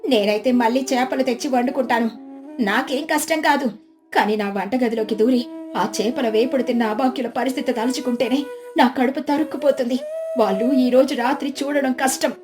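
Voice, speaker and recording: A woman's voice: sits high, fairly quick, over music, close to an everyday voice. A woman storyteller voicing a clever, theatrical village housewife with an exclamatory, dramatic manner. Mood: feigned grief, warning.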